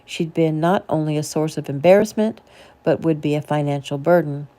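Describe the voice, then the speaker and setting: A woman's voice: low-pitched, normal speed, mellow. A woman reading her own novel aloud as a casual audiobook narration.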